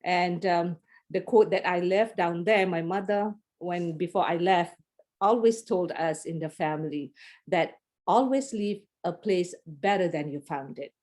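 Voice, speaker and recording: A woman's voice: on the low side, unhurried, a little mellow. Malaysian woman presenting on a video call. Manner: friendly, many fillers and restarts. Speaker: guest presenter.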